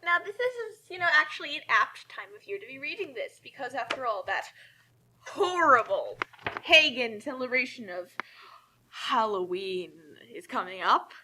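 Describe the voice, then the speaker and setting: Bright, forceful American woman. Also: fairly high pitch, big pitch swings, moderate pace. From United States. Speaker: co-host. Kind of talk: casual group chat.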